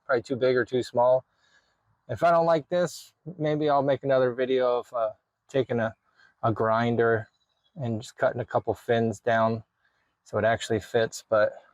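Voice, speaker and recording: A man's voice: mid-range voice, no echo. A man narrating a hands-on DIY vlog in an informal way, thinking aloud as he works. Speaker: video creator showing a DIY radio install.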